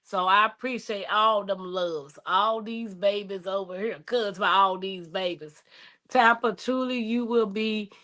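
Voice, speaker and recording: Bright-voiced woman in her forties. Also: mid-range voice, steady tone, a little slow. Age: 40s. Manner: playful, laughing, Southern-style slang. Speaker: livestream host. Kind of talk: casual livestream chat.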